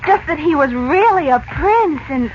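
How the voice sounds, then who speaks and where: A woman's voice: high-pitched, lively intonation, unhurried. An actress playing an imaginative, earnest young girl in 1940s radio serial style, with a hesitant, wondering manner. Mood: hesitant, sheepish. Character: young girl, eager, imaginative and a little gruesome. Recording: muffled, noisy old radio recording.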